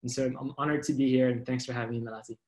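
A man's voice: mid-range voice, little pitch movement, rapid-fire, darkish tone. A man in his twenties from Indonesia, fluently introducing himself as a panelist on a video call. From grew up in Indonesia. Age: 20s. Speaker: panelist, environmental activist. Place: light room at home, indoors.

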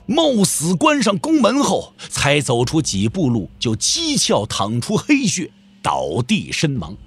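A man narrating a martial arts audio drama as a scripted, dramatic storyteller. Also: a little high.

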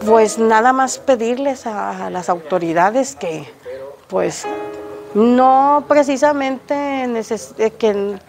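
An older woman with a Mexican Spanish accent, answering a reporter's questions outdoors on the street in front of her home. She speaks in a hesitant, halting way with frequent restarts. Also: fairly high pitch, lively intonation, over music. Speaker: interviewed resident. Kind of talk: street interview. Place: outdoors on a street by a house gate.

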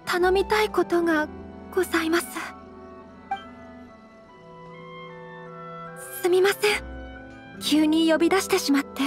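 A woman playing a refined, gentle young noblewoman in an anime game, speaking shyly in very polite, formal language. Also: extremely high pitch, fairly quick. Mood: flustered, apologetic. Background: soft background music.

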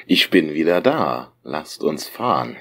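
A man's voice: very deep voice, very animated, measured pace, background noise, heavily acted. A man playing an apathetic, spaced-out driver in an audio drama parody, sluggish and listless.

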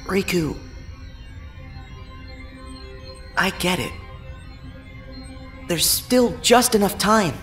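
A man's voice: sits high, animated, slow pace, fairly bright tone, faint music behind, full character voice. A man playing a plucky, stubborn young boy hero in a game cutscene, earnest, eager and optimistic. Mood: concerned. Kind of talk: video game cutscene acting.

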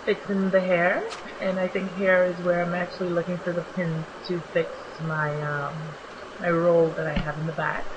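A young woman with a slightly raspy, just-woken-up voice, narrating her makeup tutorial in a relaxed, chatty way with many ums. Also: on the low side, moderate pace, dull audio, noisy background. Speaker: beauty vlogger. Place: small home bathroom.

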